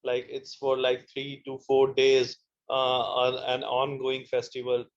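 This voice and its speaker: Guy on a muffled call, super flat delivery, lots of uhs. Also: medium pitch. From India. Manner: halting, many uh fillers. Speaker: event planner guest. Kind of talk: online panel discussion.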